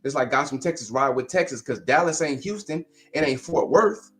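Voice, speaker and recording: A man from Long Beach, California, calling into a live boxing debate and arguing his point insistently in quick bursts. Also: medium pitch, fairly bright tone. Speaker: guest caller. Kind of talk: heated live-stream debate.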